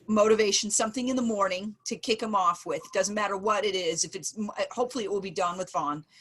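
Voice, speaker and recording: A woman in her forties runs a video call meeting in a mid-pitched voice. She lays out her points in order, one number at a time. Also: fairly bright tone. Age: 40s. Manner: organized, summarizing in numbered points. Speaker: program facilitator.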